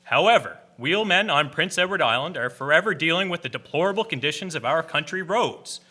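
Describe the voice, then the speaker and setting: Man acting a forceful, oratorical club president. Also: on the high side, animated, brisk. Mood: earnest, declamatory. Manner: oratorical, formal. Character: formal, earnest 1890s cycle club president. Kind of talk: community radio drama speech in early live radio manner.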